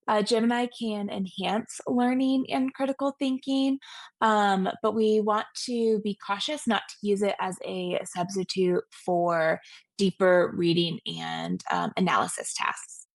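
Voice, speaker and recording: Woman, gravelly voice, dry recording, measured class presentation, frequent ums. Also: mid-range voice, no echo. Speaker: graduate student presenter.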